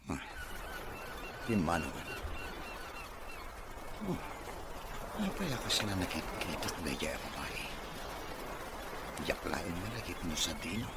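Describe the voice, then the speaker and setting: A very low male voice with an Ilocano accent, swinging widely in pitch, a man acting a wealthy young man who is hurt and accusing in an audio serial. There is clear noise on the track. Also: sing-song, slow pace, pauses often, heavily acted. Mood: searching, uneasy.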